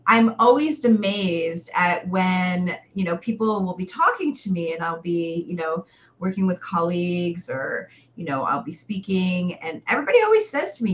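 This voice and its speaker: A woman in her forties hosting a chatty live webcast, talking to viewers in an exuberant, theatrical way with drawn-out emphatic words. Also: medium pitch, slight background noise. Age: 40s. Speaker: livestream show host and sexual wellness coach.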